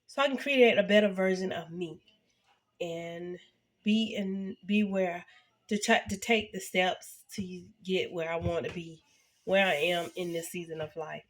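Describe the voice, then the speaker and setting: A woman in her fifties, sounding congested and a little nasal, chatting casually to her viewers in a halting way with many fillers and restarts. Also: mid-range voice. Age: 50s. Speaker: vlogger. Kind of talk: casual reflective talk to camera.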